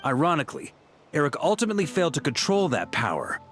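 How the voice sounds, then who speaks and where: A man's voice: a little high, sing-song, fast talker, fairly bright tone, soft background music. An actor playing a dutiful, composed male knight commander in a video game, speaking formally and earnestly. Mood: grave.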